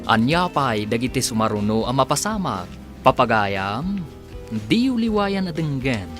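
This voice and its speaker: A man's voice: mid-range voice, sing-song, unhurried. A man with an Ilocano accent giving dramatic scripted narration as the announcer of a radio drama. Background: music.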